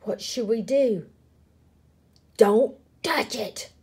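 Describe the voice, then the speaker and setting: A woman voicing small, excitable prairie dogs who chatter and exclaim eagerly in a picture-book reading. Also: on the high side, extremely slow, breathy edge, voice pushed up, exaggerated voice. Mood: nervous.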